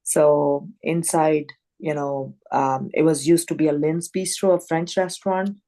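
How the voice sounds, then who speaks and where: A woman introduces her restaurant over a video call, her voice low and gravelly. She talks in halting bursts and leans heavily on "you know" and "um" as she goes. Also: fairly even intonation, warm tone. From Pakistan. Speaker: restaurant owner. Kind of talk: casual presentation on a video call.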